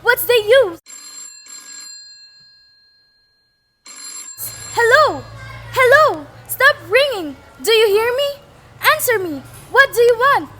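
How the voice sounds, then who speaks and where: Very high voiced girl acting as a nervy bedridden wife, bossy, self-pitying, radio play. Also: very animated, a little slow, very noisy, exaggerated voice. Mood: hysterical. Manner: fretful, imperious, melodramatic. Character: nervous, demanding bedridden invalid wife, shrill and self-pitying.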